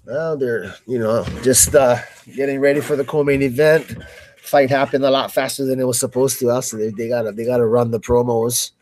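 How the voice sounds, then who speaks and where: A man's voice: medium pitch, fairly even intonation, a little fast, darkish tone, a little hiss or hum. A man co-hosting live fight commentary in casual, slangy chat, often stammering into restarts.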